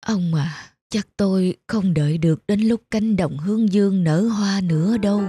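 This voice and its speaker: A woman voicing a gentle, ailing old farm wife in a cartoon, tender and wistful. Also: mid-range voice, moderate pace, lightly acted. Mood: resigned. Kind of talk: cartoon dubbing.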